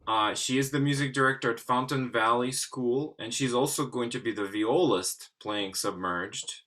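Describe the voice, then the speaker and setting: A man's voice: medium pitch, normal speed, fairly bright tone. A man speaking enthusiastically and conversationally as he interviews guests on a video call. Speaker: interviewer, artistic director and clarinetist.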